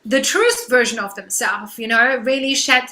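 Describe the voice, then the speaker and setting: German-accented woman in her thirties, guest coach on a video call; piercingly bright, slightly high voice, eager and filler-heavy. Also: fairly even intonation, moderate pace. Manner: enthusiastic, many fillers like "um" and "you know". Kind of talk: casual video-call interview.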